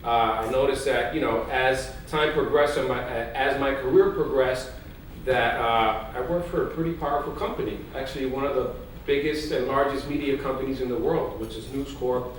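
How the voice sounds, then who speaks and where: A man's voice: mid-range voice, moderate pace. Man telling stories in an echoey hall. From Puerto Rico. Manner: energetic storytelling, drops in Spanish phrases. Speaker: news executive on a panel. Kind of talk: conference panel talk. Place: large conference hall. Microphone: distant mic in an echoey hall. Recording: echoey hall recording from far off, faint noise.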